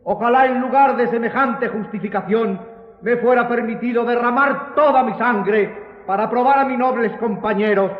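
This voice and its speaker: A man's voice: very high voice, normal speed. A man playing a proud, indignant nobleman who defends his honour in grandiloquent, declamatory radio drama style. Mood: indignant, defiant. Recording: echoey hall, thin narrow-band sound.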